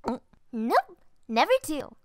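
High bright female voice, actress as bubbly teenage girl, animated, audio drama. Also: slow, heavily acted. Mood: cheerful. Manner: bubbly, talking between bites. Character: cheerful teenage girl with a huge appetite.

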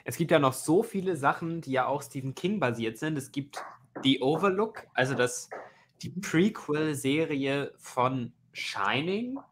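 A man's voice: fairly high pitch, animated, a little slow. Young male livestream host, noisy recording. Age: 20s. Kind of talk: casual book chat. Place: home room with bookshelves, indoors. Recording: loud noise, clicks, video-call audio.

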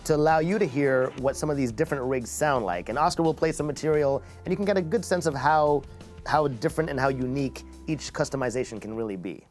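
Man, forties, medium pitch, review host, conversational, small studio. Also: fast, over music. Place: small acoustically treated studio, indoors.

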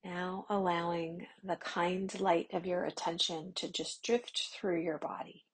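A woman guiding a yoga nidra meditation in a slow, soft, soothing voice, with long pauses and some phrases half whispered. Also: on the low side, flat delivery, breathy edge. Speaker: meditation guide.

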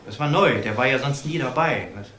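Flustered waiter, roomy sound. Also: male voice, medium pitch, lively intonation, brisk, bright, forceful tone. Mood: hesitant. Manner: hesitant, repeats himself. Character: flustered, deferential waiter questioned by police. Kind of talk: naturalistic German TV crime drama acting. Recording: roomy old soundtrack, faint noise.

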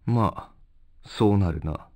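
A man's voice: sits low, slow, a little mellow, no echo. A man voicing a cool, stern assassin leader in an anime video game, terse and formal in manner. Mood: cool, weary.

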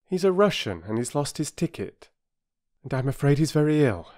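A man reading aloud and voicing a kind mother who speaks brightly and reassuringly. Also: fairly high pitch, big pitch swings, normal speed, pitched above the performer's own voice.

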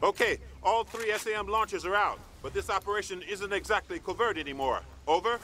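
A man playing a gruff, practical army sergeant in a video game, commanding and no-nonsense. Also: high voice, a little fast, sharp tone. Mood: businesslike. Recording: clear battlefield and vehicle noise behind.

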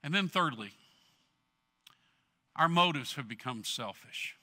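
A middle-aged man preaching a sermon from a church stage, earnestly swelling loud, then dropping low. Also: expressive, a little slow, in a large hall. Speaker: pastor.

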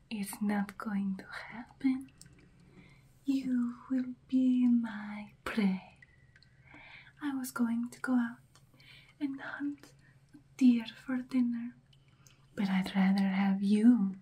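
A woman's voice: a little high, warm tone, exaggerated voice. A woman playing an imperious, hungry, centuries-old vampire bride in a whispered, ASMR roleplay, close to the microphone. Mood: menacing, gloating. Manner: breathy whispering, drawn-out theatrical delivery.

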